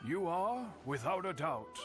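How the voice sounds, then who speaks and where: A grave older master swordsmith in a video game. He speaks slowly and solemnly in a slightly high register, formal and humble, over faint music. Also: very animated.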